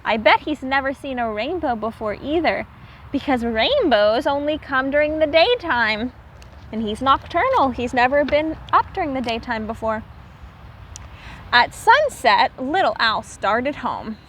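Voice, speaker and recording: A woman teacher reading aloud to young children, pausing to explain words simply and repeating herself for clarity. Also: high voice, lively intonation, a bit bright, slight background noise.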